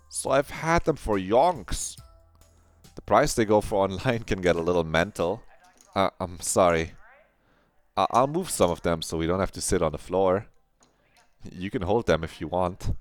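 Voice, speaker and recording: A man's voice: deep voice, moderate pace, dry recording, soft background music, lightly acted. A man voicing an anxious, eager teenage girl who rambles and stammers nervously. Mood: eager rambling.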